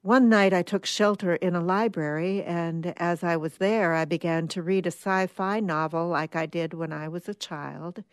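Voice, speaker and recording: A woman's voice: fairly low pitch, steady tone, normal speed, hardly any pauses, smooth, no echo, close to an everyday voice. A woman voicing a reflective, hopeful survivor about to leave Earth, earnest, in a short story reading.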